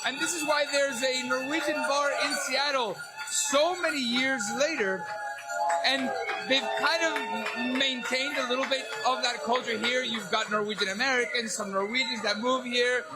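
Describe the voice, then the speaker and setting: A man working as a field reporter, interviewing fans in a restaurant with upbeat, quick follow-up questions. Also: very high-pitched, fairly even intonation, over loud music, rough recording. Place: restaurant or bar.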